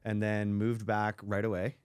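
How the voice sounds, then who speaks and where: Podcast guest, young man from Israel, low voice, rambly casual talk, dry close mic. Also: monotone, measured pace, darkish tone, clear, resonant tone, dry recording. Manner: rambling, heavy use of "like" and "you know". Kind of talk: casual chat.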